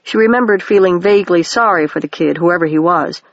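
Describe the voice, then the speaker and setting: An even-paced audiobook narrator reading a children's novel. The voice sits at a middle pitch. The recording is dry and dull, like a home setup. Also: a little fast, dry recording. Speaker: audiobook reader.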